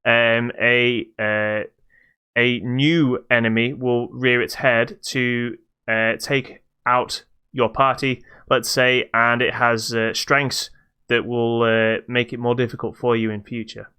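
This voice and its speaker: A man with a bright, clean-sounding voice talks through video games as a podcast co-host. His delivery is casual and unscripted, with a dry wit, and every word comes across crisply. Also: medium pitch, level tone, takes it slowly. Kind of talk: casual unscripted game review chat.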